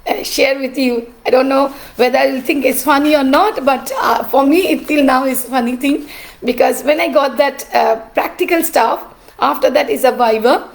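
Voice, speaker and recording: A middle-aged woman, an artist and teacher telling her story in a cracked, rough voice, pausing often with "uh". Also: sits high. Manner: halting, with many "uh" pauses. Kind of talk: personal storytelling.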